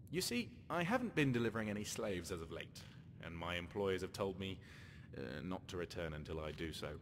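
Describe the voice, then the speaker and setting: Game rogue: low, animated, wry scheming slaver-hunter in a reverberant space. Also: male voice, a little hiss or hum. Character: sly male slaver-hunter rescuing his lover. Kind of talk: game character dialogue.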